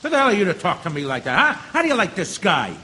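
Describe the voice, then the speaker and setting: A man's voice: high voice, wildly swooping pitch, fast, sharp tone, a little hiss or hum, full character voice. A man playing a hot-headed, bigoted, belligerent juror in a stage drama, brash and sneering. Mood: angry, sneering.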